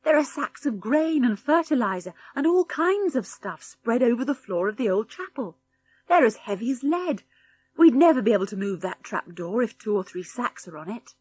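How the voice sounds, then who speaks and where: An eager British farm boy voiced by a woman in a children's storybook. He is excitable and explains things in an excited way. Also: a little high, moderate pace. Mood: exasperated.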